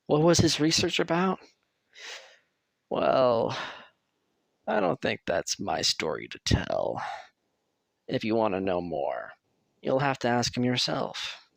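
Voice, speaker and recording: A man performs a young asylum patient in an audio drama, nervous but amiable. He speaks slowly and hesitantly, as if sharing secrets. His voice has some creak and moves freely up and down. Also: medium pitch, sing-song, dry recording, clean studio sound, full character voice. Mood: friendly, confiding. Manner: hesitant, confiding, jittery. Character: nervous, friendly young male asylum patient, quietly defiant. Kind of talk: audio roleplay acting.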